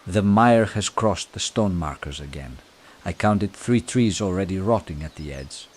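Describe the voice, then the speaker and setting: A man acting a deep-voiced elf on patrol in a fantasy audiobook. He speaks with grave, urgent resolve. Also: animated, a little slow.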